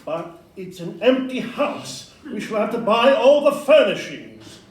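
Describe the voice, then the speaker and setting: A man acting an agreeable, practical Victorian gentleman in a stage audio play, genteel and measured in his speech. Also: sits high, big pitch swings. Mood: mildly doubtful. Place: live indoor performance in a club room. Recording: roomy distant live recording.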